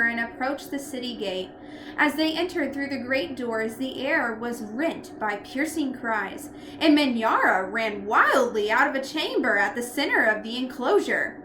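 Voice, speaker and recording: A woman reading a storybook aloud in an expressive narrating style. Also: a little high, a little slow. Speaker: storybook reader. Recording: echoey room with loud background noise.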